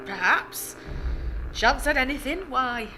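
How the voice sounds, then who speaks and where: High-pitched, teasing lady played by a woman. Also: animated, measured pace, over music, poor audio. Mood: pensive. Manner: teasing, old-fashioned idioms. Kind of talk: period drama acting.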